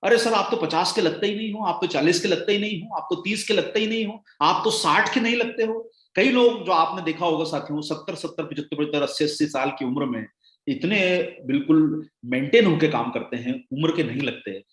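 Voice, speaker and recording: A man giving a motivational sales training talk, rousing and calling his listeners friends, his voice swinging between loud and hushed. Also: a little high, brisk, roomy sound.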